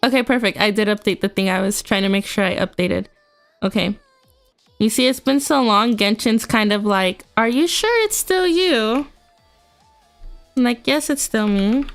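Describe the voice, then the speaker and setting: A woman's voice: a little high, normal speed. A young woman with a congested voice, chatting casually and rambling with lots of fillers as a livestream host. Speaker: VTuber streamer. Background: faint music.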